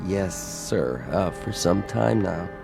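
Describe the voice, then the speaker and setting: A man's voice: deep voice, lively intonation, slow, vocal fry, loud music behind the voice, exaggerated voice. An actor playing an attentive, deferential club doorman in a radio drama.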